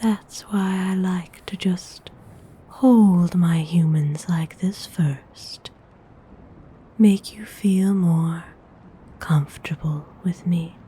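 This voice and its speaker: Actress as a female vampire noble, very slow breathy whisper, dark mellow tone, tenderly hungry, intimate ASMR roleplay, audible background noise. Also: lowish voice. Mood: soothing, sensual. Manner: slow, soothing, intimate, unhurried.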